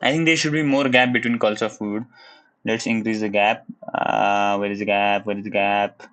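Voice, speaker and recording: A young man from Nepal with a Nepali accent, live-streaming his coding practice in casual commentary. He thinks aloud in a relaxed way, hums little la-la tunes as he works, and sometimes drops into Nepali. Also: fairly low pitch, moderate pace. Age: young adult. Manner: laid-back, chuckles, switches into Nepali. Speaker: livestreamer learning web coding.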